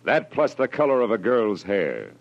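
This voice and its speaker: A hard-boiled American private eye in a 1940s radio drama. His voice sits low and he talks in wry, clipped phrases. The vintage recording is dull, with prominent musical underscore. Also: huge pitch swings, moderate pace, loud music behind the voice. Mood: tough, punchy. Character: hard-boiled male private detective, wry, worldly and unflappable. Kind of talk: 1940s radio acting, first-person detective narration.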